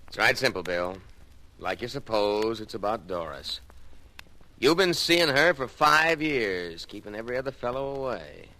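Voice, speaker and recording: Tough, bright-voiced logger. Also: male voice, mid-range voice, very animated, moderate pace. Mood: quietly threatening. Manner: blunt, folksy, menacing understatement. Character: tough, determined young logger, a protective brother. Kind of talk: old-time radio drama acting. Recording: faintly noisy old radio recording.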